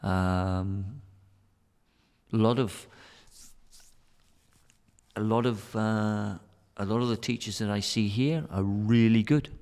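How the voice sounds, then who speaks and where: A middle-aged British man with a lowish voice talks loosely in a podcast chat. He stumbles and repeats himself as he thinks aloud. The sound carries a noticeable room reverb. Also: slow pace, long pauses, a little mellow, clear, resonant tone. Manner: rambling, repeats words and restarts often. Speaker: podcast guest, school academic director. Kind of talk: casual interview talk. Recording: hall reverb.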